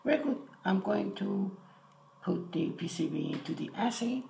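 A man with an Iranian accent giving a casual hands-on tutorial in short, halting phrases. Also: high voice, lively intonation, gravelly, darkish tone. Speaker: electronics hobbyist presenter. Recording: roomy echo with faint noise.

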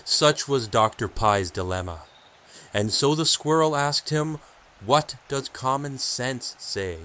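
An actor playing a solemn keeper of sacred lore in an audio drama, measured and portentous as a storyteller. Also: male voice, medium pitch, animated, bright, forceful tone. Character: solemn male sage, keeper of sacred word.

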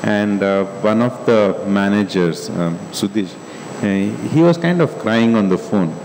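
A middle-aged man on a stage panel, speaking calmly and in a measured way into a handheld mic. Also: lowish voice. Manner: calm, measured explaining. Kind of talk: panel discussion. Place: large stage hall. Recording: echoey hall with faint hum.

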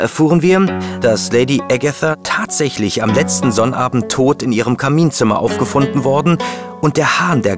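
An actor playing a detective's loyal, wry, plain-spoken sidekick and chronicler in a German audio drama. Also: male voice, medium pitch, natural voice.

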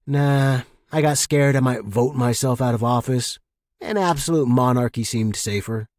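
A man's voice: medium pitch, normal speed, darkish tone, no echo, close to an everyday voice. A man playing a joking, solitary space traffic controller with dry banter in a story. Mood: mock grand.

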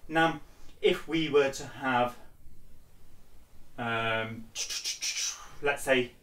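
A man's voice: mid-range voice, drawn-out words, fairly bright tone. Young man teaching in an echoey room. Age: 20s. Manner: informal, step-by-step explaining. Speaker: instructor. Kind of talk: explanatory lesson at a blackboard. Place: small bare indoor room. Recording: echoey room, distant microphone.

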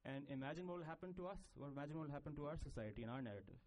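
A man's voice: on the high side, animated, very fast, darkish tone. A man from Pakistan, a panelist answering thoughtfully with many fillers and restarts.

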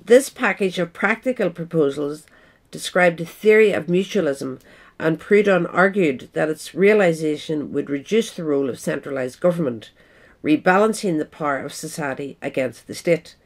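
Woman reading an essay in measured tones. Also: sits low. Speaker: audiobook reader. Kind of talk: scripted narration of an essay.

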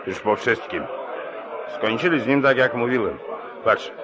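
An actor playing an evasive, weary small-town sheriff in a theatrical, declamatory 1940s radio play. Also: male voice, mid-range voice, expressive, a little slow, crowd noise, lightly acted, rough recording. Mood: dismissive. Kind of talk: 1940s radio drama acting.

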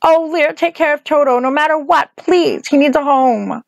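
A woman's voice: sits high, normal speed, creaky, no room sound, voice pushed up. A woman voicing a frightened, earnest young girl with a breathless, pleading manner in an audiobook. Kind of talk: audiobook character acting.

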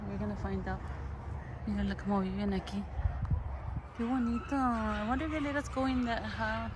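A woman's voice: medium pitch, steady tone, fairly quick. A woman chatting casually on a walking vlog outdoors on a handheld phone, switching between Spanish and English. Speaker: vlogger. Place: outdoors at stone ruins and a park path. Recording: noisy outdoor phone recording.

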